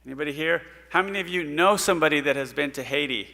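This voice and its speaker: Bright-voiced man in a reverberant church. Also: a little high, gravelly. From Puerto Rico. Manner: earnest, storytelling, pauses with fillers. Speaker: missionary. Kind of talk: mission report to a congregation. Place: church sanctuary stage.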